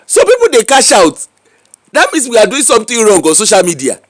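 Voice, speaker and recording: A man's voice: high voice, huge pitch swings, a little fast, no echo, studio quality. A man with a Nigerian accent giving casual, mocking commentary full of rhetorical questions. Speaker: social media commentator. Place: UK-based.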